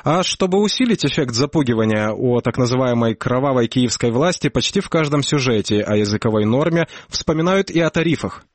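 A man narrating a news report in a measured voice, with an occasional hesitation between phrases. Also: mid-range voice, expressive, no room sound. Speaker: news correspondent.

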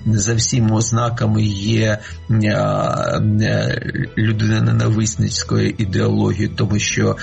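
Man, interviewee, speaks slowly and hesitantly, gravelly voice, soft music behind. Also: fairly low pitch, flat delivery. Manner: thoughtful, halting, searching for words. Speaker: scholar interviewee. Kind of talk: reflective interview answer.

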